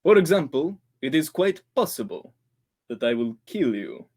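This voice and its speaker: A man's voice: fairly high pitch, very animated, normal speed. A man playing a politely threatening older killer in an old-time radio drama, speaking formally and precisely. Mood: suave menace. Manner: formal, precise, quietly menacing.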